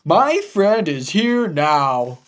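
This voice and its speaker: A woman voicing a big, emotional, needy male elephant from a children's picture book, with dramatic, drawn-out delivery. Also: fairly low pitch, sing-song, voice pushed down, full character voice. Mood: relieved, tender.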